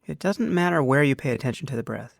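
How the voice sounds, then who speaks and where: Creaky-voiced man guiding a meditation. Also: mid-range voice, lively intonation, dry recording, clean studio sound. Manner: unhurried, measured, with long pauses. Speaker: meditation teacher. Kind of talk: guided meditation instruction.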